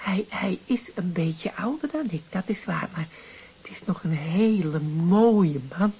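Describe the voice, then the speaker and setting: A woman's voice: on the low side, lively intonation, a little slow, mellow, slightly rough, dull audio, full character voice. An actress playing a nervous, chatty, prying middle-aged widow in a radio drama, hesitant and repeating her words.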